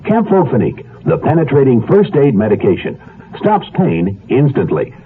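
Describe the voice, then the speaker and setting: A man's voice: medium pitch, huge pitch swings, moderate pace, slight background noise. Ad announcer guy, very up and down delivery, tinny radio sound. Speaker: commercial announcer. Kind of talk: scripted advertisement narration. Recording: tinny, band-limited old radio ad.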